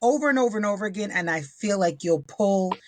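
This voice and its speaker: A woman co-hosting a casual talk show, her voice still a little husky from being ill, talking with enthusiasm in a folksy way. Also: mid-range voice, animated, quick pace, slight background noise. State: slightly husky, recovering voice. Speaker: podcast co-host. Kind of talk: casual chat.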